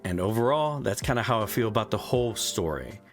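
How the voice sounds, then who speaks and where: A man's voice: lowish voice, expressive, brisk. A young man giving a frank, casual spoken game review, swearing freely, with faint music behind him.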